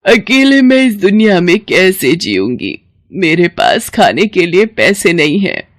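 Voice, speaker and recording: A woman voicing an elderly, helpless village mother in a story, with slow, drawn-out, tearful delivery. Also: fairly low pitch, sing-song, no room sound, clean studio sound. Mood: tearful lament.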